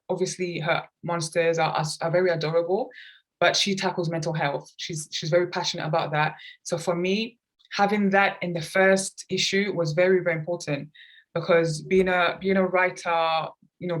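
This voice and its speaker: A woman who grew up in London, speaking earnestly and reflectively as a guest in a conversational podcast interview. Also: on the low side. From grew up in London, England. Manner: earnest, reflective, talks at length about personal experience. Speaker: guest, children's author and artist.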